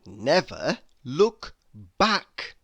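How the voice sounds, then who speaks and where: Very slow, animated man reading quotes. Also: a little high, creaky, breathy edge. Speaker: reader. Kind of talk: reading humorous quotes aloud.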